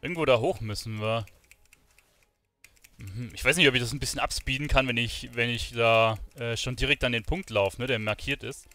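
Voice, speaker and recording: A man's voice: medium pitch, moderate pace, sharp tone, faint music behind. A man streaming a video game, thinking aloud in informal commentary sprinkled with English gamer slang. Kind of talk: casual live gaming commentary.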